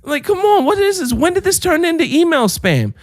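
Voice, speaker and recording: A man's voice: extremely high pitch, big pitch swings, brisk, no room sound, studio quality. A young man co-hosting a podcast in casual chat, ranting and swearing freely and breaking into laughs mid-sentence. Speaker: podcast co-host.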